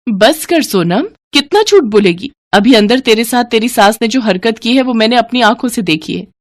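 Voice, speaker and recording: A woman voicing a well-off young woman, a concerned and outspoken childhood friend, frank and expressive in a storybook tale. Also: fairly high pitch, normal speed, lightly acted. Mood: reproachful.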